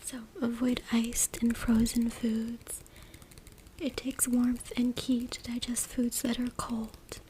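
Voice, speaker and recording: A woman whispers an ASMR reading in a soft, deliberate way, with a slightly high voice that stays very even. She is close to a USB mic, and there is clear noise with clicks behind her. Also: low-quality recording. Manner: soft, hushed, deliberate whispering with repeated words. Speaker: ASMR creator reading from a book. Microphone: close USB microphone. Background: noise, tapping and clicking sounds.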